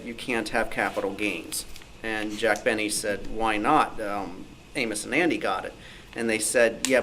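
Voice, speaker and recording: A man's voice: fairly low pitch, a bit bright, a little hiss or hum, poor audio. A man informally telling detailed anecdotes in a knowledgeable way at a group discussion. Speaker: club discussion participant.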